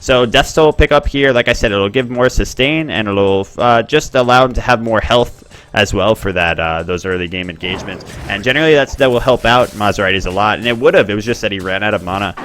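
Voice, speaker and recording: A man hosting and commenting on a game tournament in a chatty, excitable way. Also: a little fast, faint music behind. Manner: chatty, excitable, bursts up in pitch at plays. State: expects to lose his voice.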